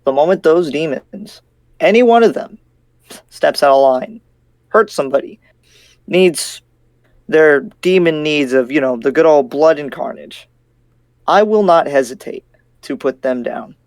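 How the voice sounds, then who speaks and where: Man acting as skeptical male demon slayer, battle-worn, frank, emphatic, restarts phrases, slightly high voice, anime game roleplay. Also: lively intonation, unhurried, creaky, pauses often, a little mellow, dry recording. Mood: stern warning.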